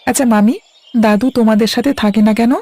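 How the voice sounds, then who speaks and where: A woman voices an inquisitive, determined little village boy in a cartoon. The voice is creaky and mellow and moves along quickly. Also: on the high side, fairly even intonation. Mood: curious. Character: curious, determined young nephew, a village boy. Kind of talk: Bengali cartoon voice acting. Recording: music under the voice.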